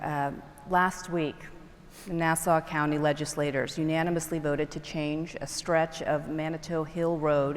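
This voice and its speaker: Low-voiced woman interviewer in a hall. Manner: measured, formal questioning. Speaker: interviewer, news anchor. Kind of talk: onstage interview questions. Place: large event hall. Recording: reverberant hall, faint crowd and noise.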